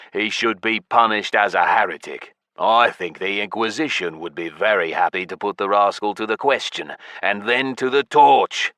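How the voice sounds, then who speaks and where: A man voicing a gruff, menacing male centurion who growls and hisses his threats, in an audiobook. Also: on the low side, pushed and bright, no echo, clean studio sound.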